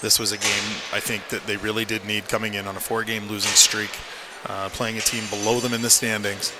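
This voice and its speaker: A man giving measured, opinionated color commentary on a live radio hockey broadcast. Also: lowish voice, level tone. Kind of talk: live radio sports analysis. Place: indoor hockey arena. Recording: noisy live arena broadcast. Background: loud rink ambience.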